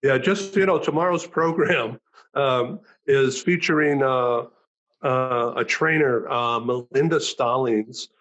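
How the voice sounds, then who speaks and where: A man hosting a talk show over a video call, thanking guests and announcing upcoming shows, talking haltingly with many fillers. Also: medium pitch, a bit bright, highs cut off.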